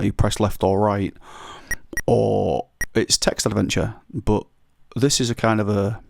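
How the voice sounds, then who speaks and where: A man with a croaky voice, giving rambling, self-deprecating commentary while playing an old computer game. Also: fairly low pitch, no room sound, clean studio sound. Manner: rambling, self-deprecating, hesitant with many ums. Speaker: retro gaming YouTuber. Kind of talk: casual gameplay commentary.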